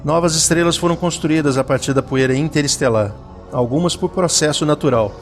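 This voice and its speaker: A man's voice: fairly high pitch, fairly quick. A man narrating a scripted audio drama in a solemn, literary reading style, with music behind him.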